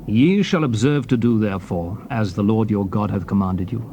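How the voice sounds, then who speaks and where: A man giving a solemn, dramatized reading of King James scripture, declaiming it in deliberate phrases. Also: lowish voice, dark tone. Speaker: scripture reader. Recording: noisy old cassette tape recording.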